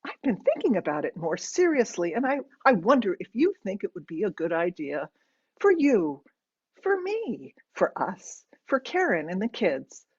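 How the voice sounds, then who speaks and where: Woman acting strained, resentful grown daughter, animated pitch. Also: moderate pace, breathy edge, lo-fi sound. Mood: exasperated. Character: stunned, resentful middle-aged daughter forcing politeness.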